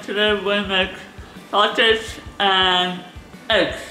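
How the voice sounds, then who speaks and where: A woman's voice: medium pitch, flat delivery, very slow, sharp tone. A young woman hosting a home cooking show in a cabin kitchen, explaining each step in short, simple sentences. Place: cabin kitchen with wooden walls, indoors. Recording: roomy with music behind.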